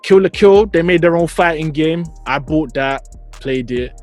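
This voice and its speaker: A man's voice: on the high side, moderate pace, soft background music. A man with a London accent in casual chat, slangy and given to emphatic repetition. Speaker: podcast panel member.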